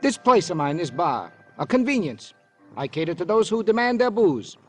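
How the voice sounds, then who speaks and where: An actor playing a fussy, self-righteous bar owner in an old radio crime drama, pompous and long-winded, with formal turns of phrase. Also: male voice, sits high, big pitch swings, normal speed, soft background music. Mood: self-righteous, insistent. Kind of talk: 1950s American radio crime drama acting.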